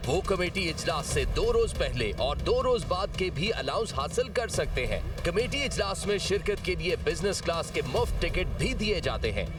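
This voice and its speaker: A man's voice: on the high side, recorded from a distance, noisy background. A man with a Pakistani accent reads a scripted news report in a formal, emphatic manner.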